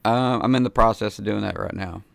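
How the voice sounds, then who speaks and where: Brief: hoarse man in his forties, laid-back and slangy, with a gravelly, fairly low voice and a dry, close studio mic. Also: fairly quick, no room sound. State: hoarse from a cold. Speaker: host. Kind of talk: casual video-call interview. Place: home studio with foam panels. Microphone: studio mic in frame.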